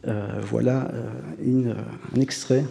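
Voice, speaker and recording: Man, very dark voice, academic lecture, hesitant, lecture hall reverb. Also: medium pitch, fairly even intonation, slow pace, gravelly. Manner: hesitant, many "euh" fillers and restarts. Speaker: university professor giving a conference talk.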